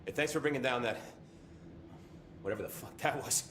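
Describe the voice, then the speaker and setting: A man playing a weary, sardonic agency survivor in a video game, casual and deadpan. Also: medium pitch, brisk, natural voice. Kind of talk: naturalistic game voice acting. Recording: echoey room with faint ambient noise.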